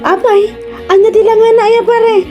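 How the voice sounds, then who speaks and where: A woman with an Ilocano accent playing an excitable, complaining village wife in a comedy radio drama, dramatic and exclamatory. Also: extremely high pitch, normal speed, soft background music. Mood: amused gossip.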